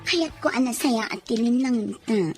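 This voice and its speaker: A woman's voice: fairly high pitch, normal speed, close to an everyday voice. A woman playing a cruel, short-tempered rich wife with a Filipino accent, scolding and domineering in a melodrama. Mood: menacing. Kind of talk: melodramatic audio drama acting. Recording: music behind the voice.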